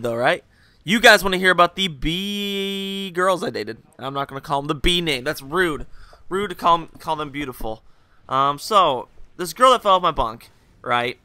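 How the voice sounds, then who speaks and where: A young man with a very bright, crisp, fairly high voice recorded dry and close. He rambles through a story over gameplay with jokes and fillers, sounding hungover. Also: no echo. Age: young adult. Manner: rambling, joking, full of fillers and asides. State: hungover, voice a bit rougher and lower than usual. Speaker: gaming video host.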